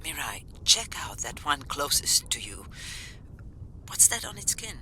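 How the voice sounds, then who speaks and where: Practical lady scientist, really low voice, lively swings, game dialogue, bit of noise. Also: unhurried, exaggerated voice. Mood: curious. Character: woman scientist colleague, practical, reporting in.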